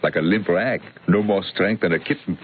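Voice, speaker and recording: An actor playing an ailing elderly professor, effusive, proud and emphatic, in a vintage radio drama. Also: male voice, deep voice, normal speed, lightly acted. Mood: proud, fervent. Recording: tinny band-limited old radio recording, faint noise.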